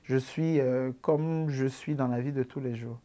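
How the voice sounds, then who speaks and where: A man in his twenties with an Ivorian accent, answering interview questions about his comedy in a relaxed way, with many fillers. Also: medium pitch, a little slow. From Ivory Coast. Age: 20s.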